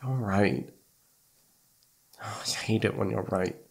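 A man's voice: on the low side, slow, gravelly, breathy edge, heavily acted. A man playing a needy, playful young boyfriend in intimate ASMR roleplay, pouty and sweet, stammering when flustered.

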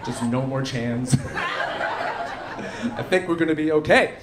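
Male comic, thirties, bright animated delivery with a high, swinging pitch, live stage recording. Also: slow. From Canada. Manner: animated, punchy delivery with dry asides. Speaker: stand-up comedian. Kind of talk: stand-up set. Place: theatre stage before a live audience. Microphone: handheld stage mic. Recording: live club recording with audience noise. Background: audience laughter.

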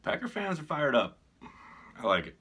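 A man's voice: on the high side, big pitch swings, normal speed, pushed and bright, husky. A middle-aged American man chatting casually about sports to camera as a reporter hosting a segment. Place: small furnished room at home, indoors.

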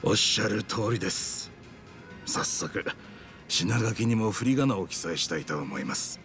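A man's voice: fairly low pitch, expressive, fairly bright tone, faint music behind. An actor playing a courteous, composed male chef of a traditional restaurant in a Japanese game dub, speaking politely and with measure. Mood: humble, reflective. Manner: measured, polite shopkeeper speech.

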